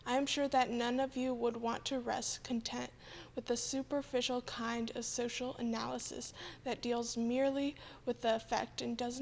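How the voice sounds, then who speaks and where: High, creaky-voiced woman reading evenly. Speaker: church member reading quotes aloud. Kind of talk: scripted reading of a historic letter.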